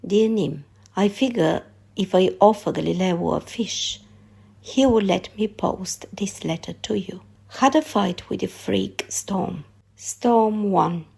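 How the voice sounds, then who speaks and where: A woman's voice: medium pitch, creaky, darkish tone, slightly rough, exaggerated voice. A woman voicing a plucky, affectionate seafaring father's letter in short, matter-of-fact phrases.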